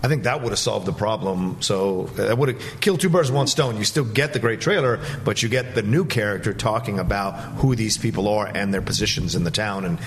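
A man with a somewhat low voice talks as a panelist on a movie show. His delivery is conversational, and there is faint background noise around him. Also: fairly quick, few pauses. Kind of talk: casual panel discussion.

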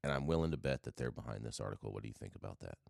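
A man with a low voice hosts a casual news talk show. His pitch swings widely as he stresses and repeats his points, and the sound is close and dry. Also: lively intonation, racing, vocal fry, no echo. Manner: emphatic, repeats phrases.

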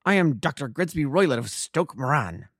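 A man performs a huge, menacing old doctor from a storybook, raging and snarling. His voice is pushed up higher and louder in blustering, bullying demands, with a dry, close sound. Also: expressive, normal speed, dry recording. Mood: indignant.

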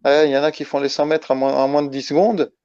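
A man from Italy speaking as a guest in a casual interview, halting and restarting his phrases as he explains. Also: fairly high pitch, little pitch movement, darkish tone, clean tone. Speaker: interview guest, ultra-trail runner. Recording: dull lo-fi, roomy echo.

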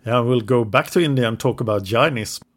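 A man's voice: mid-range voice, fairly quick. Man retelling history from notes. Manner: narrates from notes, hesitant with English words. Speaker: podcast co-host. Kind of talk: casual history podcast storytelling.

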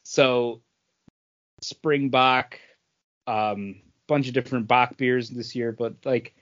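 A man's voice: mid-range voice, steady tone, slow pace, a bit bright, no echo. A man co-hosting a podcast, explaining things casually and often repeating himself. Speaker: podcast co-host. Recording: dull, lo-fi sound.

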